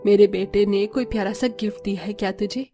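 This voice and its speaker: A woman's voice: mid-range voice, fairly even intonation, a little fast, dark tone, faint music behind, natural voice. A woman voicing a curious, teasing older mother-in-law in a cartoon.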